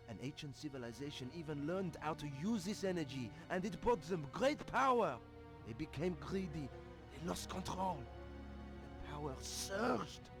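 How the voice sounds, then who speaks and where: An actor playing an anxious, excitable male code-breaker with a halting, dramatic delivery, leaving a recorded message in a video game. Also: a little high. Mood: ominous. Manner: halting, stammering, dramatic swings.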